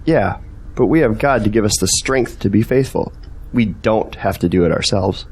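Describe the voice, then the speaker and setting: A man's voice: lowish voice, moderate pace, darkish tone, breathy edge. A young man playing an earnest, faithful friend in an audio drama, sincere and questioning. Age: young adult.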